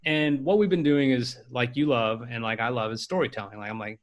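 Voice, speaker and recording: A man's voice: mid-range voice, fairly quick, muffled recording. A man hosting a podcast interview, laid-back, asking long rambling questions. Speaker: podcast host. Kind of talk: casual interview.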